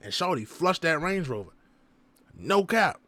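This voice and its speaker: A man reacting to a video as the vlog's host, talking casually and excitedly to his viewers in heavy slang. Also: fairly high pitch, measured pace, no room sound. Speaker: reaction vlogger.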